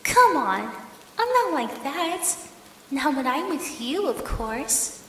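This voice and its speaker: Slow, menacing yandere ex-girlfriend. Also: sits high, big pitch swings, heavily acted. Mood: mocking, sugary. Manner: drawn-out, mocking, cooing endearments. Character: menacing, obsessive ex-girlfriend, sugary sweet yet unhinged. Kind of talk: ASMR roleplay audio drama. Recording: roomy echo, long reverb, faint noise.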